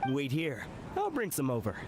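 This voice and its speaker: A man's voice: medium pitch, wildly swooping pitch, moderate pace, a little mellow, soft background music. A man voicing a jovial, generous food vendor in a video game, with a hearty, teasing manner. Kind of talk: scripted game dialogue.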